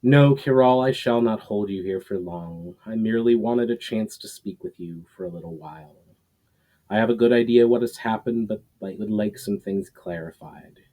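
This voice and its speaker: A man's voice: lowish voice, mellow, lightly acted, rough recording. Man reading as grave, ancient male mentor. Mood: gentle. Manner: solemn, formal, deliberate.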